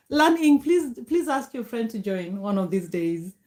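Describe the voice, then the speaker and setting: Mellow-voiced Kenyan woman chatting live. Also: a little high, sing-song, slow pace. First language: Kikuyu. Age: middle-aged. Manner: warm, grateful, greets viewers by name. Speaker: livestream host. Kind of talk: casual live chat reading comments.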